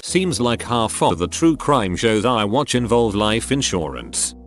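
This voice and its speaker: A synthetic man's voice reading out forum posts as scripted narration, in a flat and literal manner. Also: fairly low pitch. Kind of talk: scripted text-to-speech narration.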